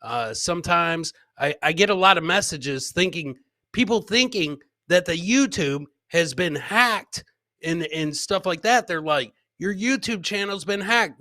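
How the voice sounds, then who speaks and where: A man's voice: high voice, bright, forceful tone, no room sound. A man in his forties hosting a live comedy clip show in a casual, jocular, profane way, repeating his phrases, close on a podcast mic. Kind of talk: casual livestream chat. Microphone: close podcast mic on a boom arm, headset.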